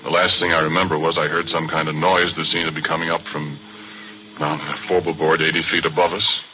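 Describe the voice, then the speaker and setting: An actor playing a spooked, plain-spoken oil-field worker in a 1940s radio drama, speaking folksy and rough. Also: male voice, extremely low pitch, little pitch movement, fairly quick, background noise. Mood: haunted, uneasy. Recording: dull 1940s radio broadcast. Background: music.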